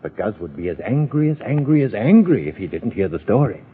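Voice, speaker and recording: An actor playing a gentle, indulgent father telling a bedtime story in a 1930s radio drama. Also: fairly low pitch, big pitch swings, breathy edge, heavily acted. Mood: gentle, playful. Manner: playful, coaxing, drawn-out storytelling. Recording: tinny old radio recording.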